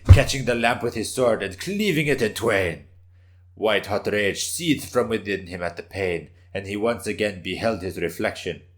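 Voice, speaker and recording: Deep-voiced man narrating dramatically. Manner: intense, theatrical. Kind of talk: dramatic story reading, scripted narration.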